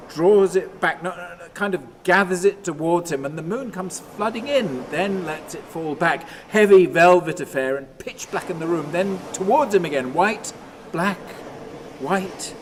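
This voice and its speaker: An actor as a slow, high-voiced tormented older man. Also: slight background noise, lightly acted. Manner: halting, fragmented, swinging from hushed to anguished cries. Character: tormented, haunted older man telling himself stories. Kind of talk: radio play dramatic monologue.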